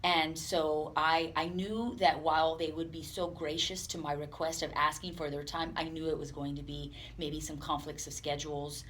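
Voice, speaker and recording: A woman giving unscripted interview answers as a police department representative, speaking earnestly and haltingly. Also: low voice, flat delivery, a bit bright. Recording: clear background room noise.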